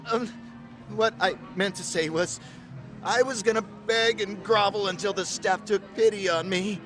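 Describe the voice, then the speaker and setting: High-pitched, meek, earnest diner. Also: male voice. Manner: earnest, self-deprecating, polite. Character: meek, effusively grateful, awkward male diner. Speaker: voice actor in game dub. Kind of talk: English dub game cutscene acting.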